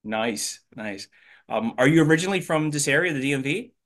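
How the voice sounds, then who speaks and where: A middle-aged man hosting an interview show, asking questions in a relaxed, rambling way with hesitations. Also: a little high, a little fast. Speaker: show host. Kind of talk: conversational interview.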